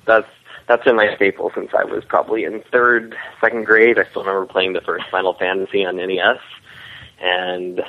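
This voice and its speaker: A man's voice: deep voice, little pitch movement, brisk. A man chatting casually as an interview guest, explaining his creative work. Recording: tinny, muffled phone-like call audio.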